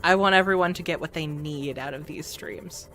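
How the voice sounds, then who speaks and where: A woman's voice: low-pitched, moderate pace, fairly bright tone, slight background noise. A woman streaming a game who chats to her viewers and thinks aloud as she plays. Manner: chatty, talks to chat and to herself. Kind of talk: casual live game commentary. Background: game music.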